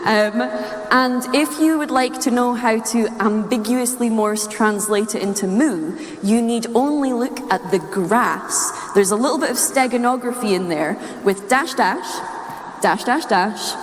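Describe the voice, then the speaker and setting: A woman's voice: fairly high pitch, unhurried. A woman from Scotland with a Scottish accent gives a playful, humorous, nerdy talk to a live audience. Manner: playful, chuckles at own wordplay. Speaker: speaker giving a talk. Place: large indoor room or hall, live talk. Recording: echoey hall with long reverb.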